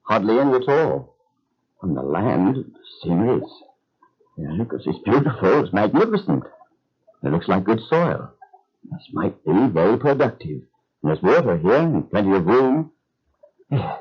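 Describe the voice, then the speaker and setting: An actor playing a devout, resolute man of faith in a biblical audio drama, earnest, formal and measured. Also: fairly low pitch, big pitch swings, husky. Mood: thoughtful. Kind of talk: biblical radio drama acting. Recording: dull lo-fi recording.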